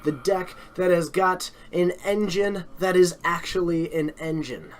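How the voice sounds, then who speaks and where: Excitable male stream host, fraying voice. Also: high voice, measured pace. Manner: chatty, excitable, self-deprecating. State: losing his voice. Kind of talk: live card game stream commentary.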